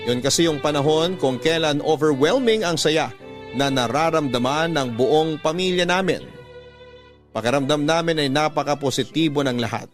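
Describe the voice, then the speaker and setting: A man reading a heartfelt first-person story as a radio-style narrator, with sentimental, measured phrasing. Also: fairly high pitch. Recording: music bed under the voice.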